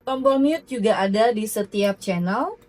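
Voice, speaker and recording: A woman's voice: fairly high pitch, expressive, measured pace, a little mellow. A woman narrating a product demo in an explanatory, instructional way. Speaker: product presenter.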